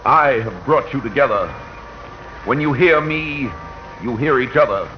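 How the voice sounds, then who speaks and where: An actor playing Radio itself, a proud, rousing figure declaiming grandly to the nation in a 1940s radio pageant. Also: male voice, medium pitch, lively intonation, unhurried, natural voice. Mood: stirring, proud. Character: radio itself personified, proud rousing male voice addressing America. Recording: dull 1940 radio recording with music.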